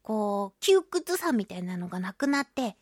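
A woman's voice: mid-range voice, sing-song, normal speed, no echo, clean studio sound. A woman hosting a casual radio talk show, chatting expressively and playfully with listeners as she reads their mail. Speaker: radio show host and voice actress.

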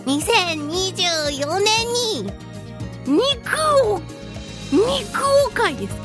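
A woman hosting a solo radio talk show in a casual, playful and theatrical way, giggly and swooping between exclamations and low asides, close on a studio microphone. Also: big pitch swings, drawn-out words, over music. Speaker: radio show host, voice actor.

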